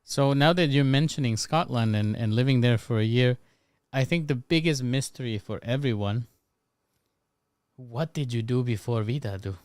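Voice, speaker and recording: A Slovak man with a Záhorie accent hosting a casual podcast, relaxed and teasing as he reads out the chat. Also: mid-range voice, moderate pace, no echo, studio quality. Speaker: podcast host.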